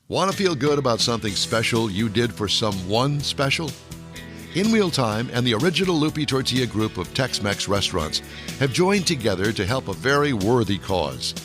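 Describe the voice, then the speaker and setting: A man's voice: fairly high pitch, fairly bright tone. An American man speaking as a radio show host, in a polished presenting style for hosting and sponsor reads.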